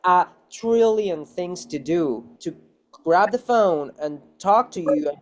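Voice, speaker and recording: A man's voice: sits high, lively intonation, slow. A man chatting casually as an actor being interviewed, with non-native English grammar and phrases repeated for emphasis. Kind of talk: casual chat.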